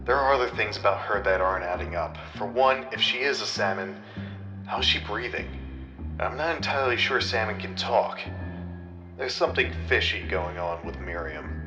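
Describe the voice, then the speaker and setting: Low deadpan detective played by a man, roomy echo. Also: normal speed, dull audio, music in the background, natural voice. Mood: suspicious. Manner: dramatic, deadpan. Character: self-serious, dim-witted male private detective, narrating his case. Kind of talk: parody noir narration and character dialogue.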